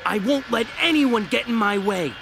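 A man's voice: extremely high pitch, lively intonation, normal speed, gravelly, background noise, full character voice. A man voicing an earnest, hot-headed young hedgehog in a video game cutscene, youthful, intense and dramatic. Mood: desperate, defiant.

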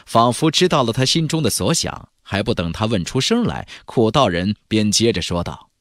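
A man's voice: lowish voice, sing-song, moderate pace, a bit bright, no room sound, studio quality. A man narrating a fantasy audiobook in a dramatic, suspenseful, theatrical storytelling style. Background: faint background music.

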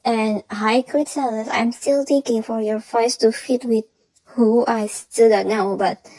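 Female announcer for a channel update: higher-pitched, unhurried, halting, altered voice, dry sound. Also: darkish tone, no room sound, rough recording. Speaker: channel creator announcing audition winners. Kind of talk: semi-scripted announcement, reading prepared notes.

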